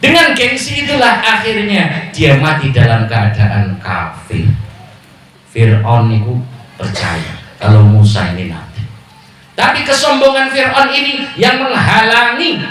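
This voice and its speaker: A man with a Javanese accent preaching a sermon at a village religious gathering, mixing jokes with loud calls and low asides. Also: sing-song, a little slow. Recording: echoey PA sound from far off.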